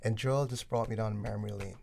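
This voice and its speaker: A man's voice: fairly low pitch, fairly even intonation, brisk, vocal fry, a little mellow. A man, a musician and producer, recounting his career casually on a radio show.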